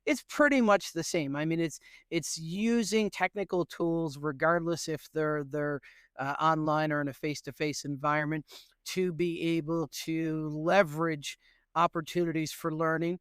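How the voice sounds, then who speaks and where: A middle-aged man from Newfoundland, Canada, answering interview questions as an education professor in an earnest, animated way with frequent fillers. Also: a little high, normal speed, clear, resonant tone, dry recording, studio quality.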